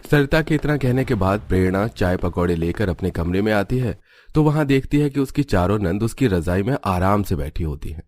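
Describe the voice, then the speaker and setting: A man's voice: lowish voice, expressive, fairly quick, a little mellow, soft background music. A man narrating a scripted cartoon family story.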